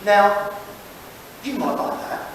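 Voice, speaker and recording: High-voiced man, rambling in a hall. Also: sing-song, slow, slight background noise. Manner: rambling, conversational, with restarts. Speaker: safety presenter. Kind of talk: informal presentation. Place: meeting room, talking to an audience. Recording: echoey hall, from far off.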